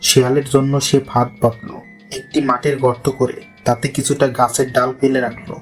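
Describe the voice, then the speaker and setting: Man, storyteller, scripted fairy-tale narration, medium pitch, background music. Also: level tone, fairly quick.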